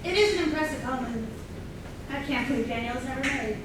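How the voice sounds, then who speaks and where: Actress as high-voiced chatty party guest. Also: female voice, brisk, lightly acted. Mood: gossipy. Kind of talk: staged comedy play reading. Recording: very echoey room, distant mic, noisy.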